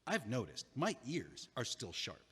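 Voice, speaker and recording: An actor playing a flustered middle-aged lawyer after a breakup, stammering, wordy and defensive, in an audio drama. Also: male voice, medium pitch, huge pitch swings, a little slow, fairly bright tone, slightly rough, long reverb tail, exaggerated voice. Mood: conciliatory. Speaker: attorney.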